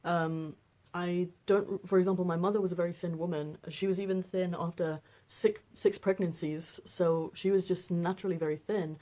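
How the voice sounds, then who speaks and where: A young woman in her twenties with a notably low, deep voice. She talks to camera in a loose, rambling way, full of ums, restarts and asides, as if chatting at home. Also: fairly even intonation, moderate pace, warm tone. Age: 20s. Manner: rambling, frequent fillers and restarts. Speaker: vlogger. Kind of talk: casual personal vlog.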